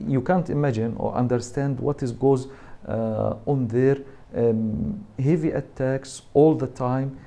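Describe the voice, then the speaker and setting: A man from Gaza with a Palestinian Arabic accent, giving a personal account in halting non-native English, often searching for words. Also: mid-range voice, dark tone. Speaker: university lecturer giving personal testimony.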